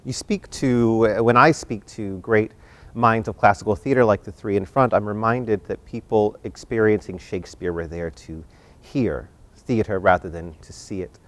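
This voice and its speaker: A man with a fairly low voice acts as host and moderator of an arts event. He addresses viewers and panel directly, with emphatic, theatrical phrasing that leans on repetition. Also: moderate pace. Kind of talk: warm-up hosting talk to a home audience and panel.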